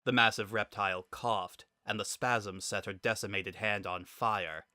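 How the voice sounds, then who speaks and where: A man reads a dramatic story as its narrator. His voice is fairly low with a bright, forceful edge, and the recording is dry and close. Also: steady tone, unhurried, no room sound.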